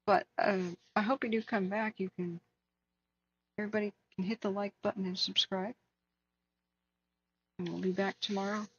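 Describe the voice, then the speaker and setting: A woman hosting a wildfire tracking livestream, hoarse from hours of talking, speaking earnestly and answering viewers by name. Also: medium pitch, level tone, normal speed, long pauses, dull audio, slight background noise. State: hoarse, losing her voice. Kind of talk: casual livestream chat.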